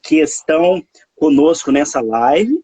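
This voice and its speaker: A man from hosts a live stream in casual chat. His voice sits high and swings widely in pitch. It has a husky, dry sound, and he leans on tag questions. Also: a little slow, no room sound, rough recording. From Minas Gerais, Brazil. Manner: warm, chatty, animated, frequent tag questions.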